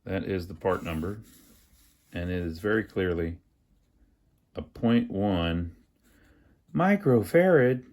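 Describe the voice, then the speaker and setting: A man's voice: low voice, expressive, takes it slowly, long pauses, a little mellow. A man hosting a casual hands-on repair tutorial, thinking aloud in a folksy way as he works. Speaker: host of an amateur radio repair channel.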